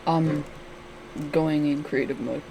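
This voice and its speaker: A man's voice: fairly high pitch, fairly even intonation, slow pace, background noise. A man chatting casually while he plays a game, giving brief, offhand replies. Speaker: co-host playing a game. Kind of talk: casual chat.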